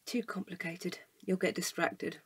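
A woman's voice: on the low side, steady tone, measured pace, breathy edge, whispered. A woman playing a bored, bossy, prickly woman in an audio drama, with a dry and blunt manner. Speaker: actor.

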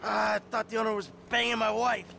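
An actor playing a hot-tempered, world-weary middle-aged criminal in a video game, sardonic and profane. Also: male voice, sits high, flat delivery, brisk, creaky, bright, forceful tone, a little hiss or hum, heavily acted. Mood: weary, subdued. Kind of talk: video game cutscene acting.

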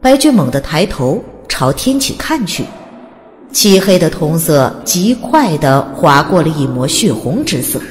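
Low-pitched woman narrating in long reverb. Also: sing-song, measured pace. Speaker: audiobook narrator. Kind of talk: dramatic scripted story narration. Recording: long reverb, faint background noise.

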